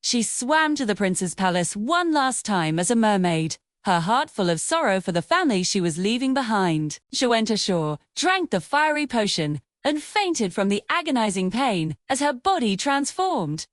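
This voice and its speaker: Animated woman narrating a fairy tale. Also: mid-range voice, dry recording, studio quality. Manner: dramatic, measured storytelling. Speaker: storyteller.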